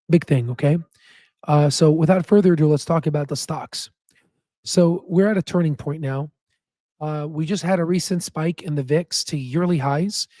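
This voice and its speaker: A man hosts his stock market show with a hoarse, nearly spent voice that sits a bit higher than most men's. His tone is dark and mellow, and the sound is dry and close with no room around it. He rambles casually through his points, full of fillers and restarts. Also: dry recording. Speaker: stock market channel host. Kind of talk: casual chat and stock analysis.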